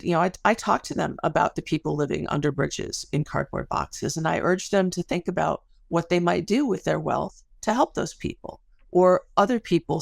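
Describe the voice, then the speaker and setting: A woman's voice: on the low side, gravelly, no room sound, studio quality. A middle-aged woman speaking as an academic guest in a podcast interview, explaining things articulately through stories and analogies.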